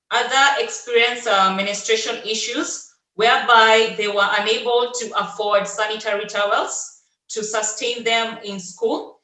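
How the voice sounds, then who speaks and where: A woman from Kenya, presenting on a video call in a halting way, with many fillers and restarts. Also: medium pitch, bright, forceful tone, echoey room, rough recording. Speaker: webinar presenter.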